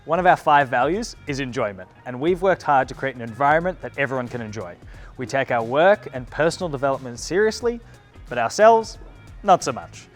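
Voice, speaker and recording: Company presenter: Australian male, average pitch, scripted recruitment read with music behind. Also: animated, a little fast. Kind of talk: scripted recruitment video narration.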